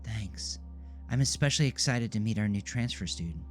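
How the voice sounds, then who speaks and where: A man's voice: lowish voice, expressive, normal speed, pitched above the performer's own voice, close to an everyday voice. Devoted female special-ed teacher, played by a man, earnest and sincere, music bed, audio drama. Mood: grateful excitement. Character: passionate, eager woman special education teacher. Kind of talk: audio drama character dialogue.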